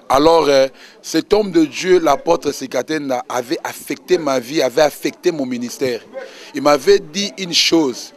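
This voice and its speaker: A man from the Congo with a Congolese accent, an apostle giving an emphatic personal testimony in a religious interview. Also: a little high. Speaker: apostle, church minister.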